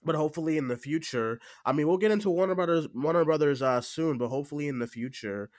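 A man's voice: on the high side, quick pace, a little mellow, no room sound, clean studio sound. Stuffy-nosed man hosting a podcast. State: stuffy with a cold. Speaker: podcast host. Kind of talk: casual podcast chat.

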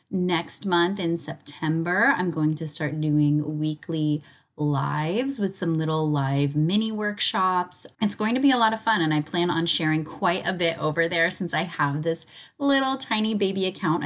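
A woman hosting a casual spiritual podcast, chatty and enthusiastic, with a slightly raspy, hoarse voice as if recovering from illness. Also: lowish voice. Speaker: podcast host.

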